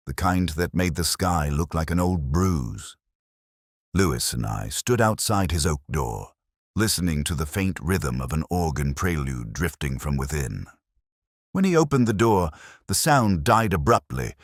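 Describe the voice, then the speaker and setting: Very deep-voiced man narrating a detective story. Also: no room sound, studio quality. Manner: measured, literary, solemn delivery. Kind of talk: scripted first-person detective story narration.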